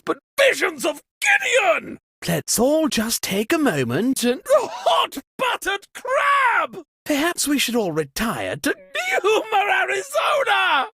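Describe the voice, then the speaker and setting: An actor playing an excitable, pompous aristocrat host and amateur sleuth in a cartoonish party game, with a fussy, genteel, theatrical delivery. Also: male voice, very high voice, no room sound, heavily acted. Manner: theatrical, genteel, fussy, with old-fashioned exclamations.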